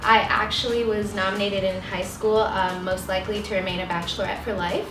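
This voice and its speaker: A woman's voice: medium pitch, little pitch movement, brisk. Chatty young woman, roomy and distant. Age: 20s. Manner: chatty, frank, many likes and you knows. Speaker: panel guest. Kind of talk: candid casual chat. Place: studio set with sofa, indoors. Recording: roomy, distant, music bed.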